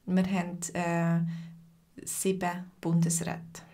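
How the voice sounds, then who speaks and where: A woman with a Swiss German accent teaching a live stream lesson. She greets viewers by name and explains things slowly and simply, with many fillers. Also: fairly low pitch, completely flat delivery, dark tone. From Switzerland.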